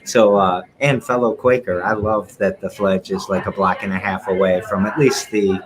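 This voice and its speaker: A man's voice: sits low, steady tone, normal speed, a crowd in the background, poor audio. A man hosting a podcast, chatting in a loose, friendly, laid-back way. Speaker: podcast host. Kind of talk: casual interview chat.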